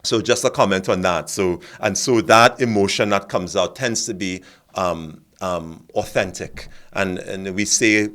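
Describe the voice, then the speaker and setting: A very low-voiced man from Trinidad speaks on a discussion panel. He is an actor and acting coach who works through his ideas slowly, repeating words as he goes. His tone stays reflective and considered. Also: a bit bright. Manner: thoughtful, repeats words while building a point.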